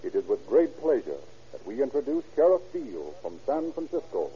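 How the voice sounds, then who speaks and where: A man's voice: mid-range voice, big pitch swings, normal speed, mellow. A male announcer with a formal, ceremonious 1930s radio delivery, on a dull old recording.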